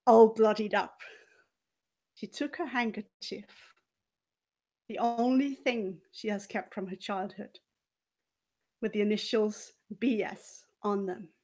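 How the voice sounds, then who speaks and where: Slow, theatrical woman storyteller. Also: medium pitch, steady tone, warm tone. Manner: theatrical, deliberate, with dramatic pauses and swells. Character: scheming, money-loving former cabaret dancer, haughty and venomous. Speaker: player in a murder mystery role-playing game. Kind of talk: in-character dramatic storytelling in the third person.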